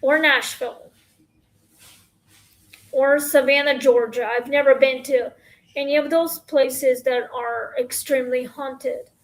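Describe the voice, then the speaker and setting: A woman with a high, scratchy voice talks slowly into her phone. She rambles through questions in a casual, halting way, full of pauses and fillers. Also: fairly even intonation. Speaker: podcast host. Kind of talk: casual Q&A chat.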